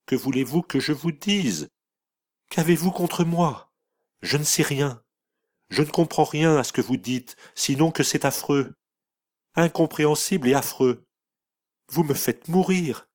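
A man's voice: low-pitched, fairly even intonation, a little slow. A man reading an audiobook voices an innocent young girl who pleads in anguish. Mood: pleading.

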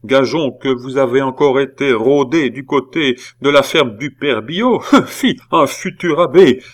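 A man's voice: medium pitch, unhurried, pitched above the performer's own voice, close to an everyday voice. A man voicing a stern, suspicious old spinster aunt in a storybook reading, accusing and sententious. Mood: accusing, angry. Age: older. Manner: accusing, sarcastic, indignant exclamations.

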